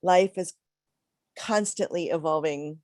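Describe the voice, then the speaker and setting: A middle-aged woman minister giving a reflective, conversational sermon with pauses, a little congested from a cold. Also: lowish voice, darkish tone, no echo, studio quality.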